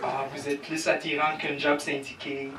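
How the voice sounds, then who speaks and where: A man's voice: fairly high pitch, flat delivery, normal speed, over loud music. A male stand-up comedian whose first language is English, teasing the crowd with crude, swearing banter and admitting he is nervous. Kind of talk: stand-up set in a comedy club. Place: comedy club stage. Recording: echoey club from far off, noisy.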